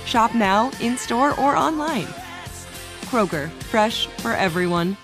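Cheery lady ad read, higher voice, music playing under. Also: expressive, takes it slowly. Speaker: commercial announcer. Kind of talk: scripted advertisement. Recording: voice-over with music bed.

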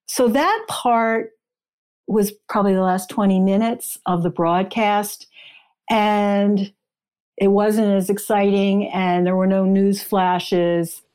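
A woman guest retells and explains in an interview. Her mid-pitched voice is soft-edged and mellow. The delivery is conversational. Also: fairly even intonation, takes it slowly, smooth.

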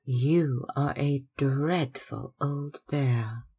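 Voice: mid-range voice, extremely slow, gravelly, warm tone, breathy edge, soft background music, voice pushed up, exaggerated voice. A narrator voicing a starry-eyed young actress, breathless and theatrical, in an audiobook. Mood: playful. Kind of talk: audiobook character acting.

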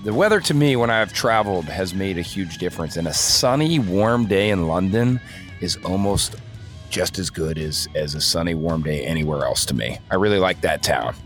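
Lowish-voiced casual male co-host. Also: lively intonation, moderate pace, faint music behind. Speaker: podcast co-host. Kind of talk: casual chat.

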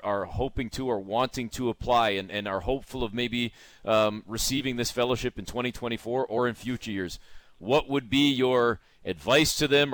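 A middle-aged man hosts a sports interview show in a conversational style. His voice sits at a medium pitch, and the sound is dry, with no room echo. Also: fairly bright tone.

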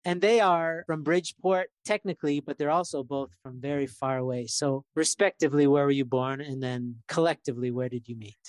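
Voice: mid-range voice, fairly even intonation, a little fast, few pauses, dry recording. A podcast host introducing the show and its guests in an enthusiastic, admiring way. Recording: music playing under the voice. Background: music.

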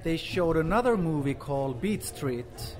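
A man's voice: a little high, expressive, measured pace, darkish tone, soft background music. A man in his forties, from Finland and raised in Sweden, speaking casually with many fillers as an interview guest. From Finland, grew up in Sweden. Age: 40s. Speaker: guest artist.

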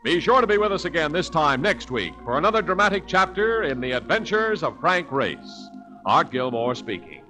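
A man's voice: on the high side, very animated, moderate pace, pushed and bright, poor audio. An American man announcing the credits of a 1940s radio show in a polished, emphatic way. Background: music.

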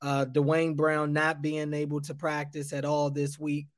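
A man who hosts sports radio and covers a team as a reporter, breaking down a game in casual, measured analysis and building his points with restarts. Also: a little high, monotone, dry recording, clean studio sound.

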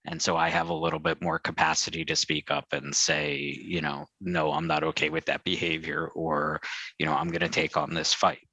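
Very deep, forceful man. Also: little pitch movement, normal speed, no echo, low-quality recording. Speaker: panel guest. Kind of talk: casual panel discussion.

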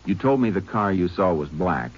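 An actor playing a calm, steady Texas Ranger who patiently questions a witness in a 1950s radio drama. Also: male voice, low voice, moderate pace, slightly rough. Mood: steady questioning. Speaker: narrator and lead. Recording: dull old radio broadcast with faint hiss.

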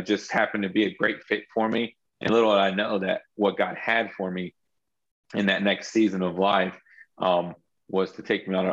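A man's voice: deep voice, dull audio. A man sharing his faith story as a guest in an interview, earnest and reflective, often restarting his sentences. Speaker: guest entrepreneur.

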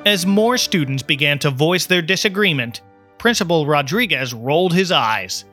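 A man reading a scripted story as an audiobook narrator, in an expressive, measured storytelling manner. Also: fairly high pitch, faint music behind.

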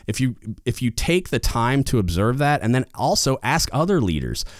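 A man with a loud, booming voice giving casual leadership advice as a podcast host, getting louder when fired up. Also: sing-song, moderate pace, no echo, clean studio sound. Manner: gets louder when fired up, rambling restarts.

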